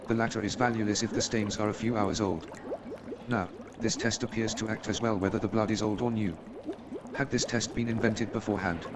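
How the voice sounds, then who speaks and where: Guy doing eager brainy detective, lowish voice, declaims everything, radio play, noisy watery background. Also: close to an everyday voice. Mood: excited, proud. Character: eager, brilliant amateur detective-chemist, self-assured man. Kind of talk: staged radio play acting.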